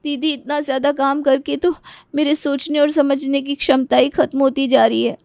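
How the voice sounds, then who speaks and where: A woman's voice: high-pitched, level tone, moderate pace, creaky, darkish tone, no echo, studio quality, pitched above the performer's own voice. A woman voicing a young, clumsy, whiny daughter-in-law in a storybook tale, complaining and exclaiming dramatically. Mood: weary complaining.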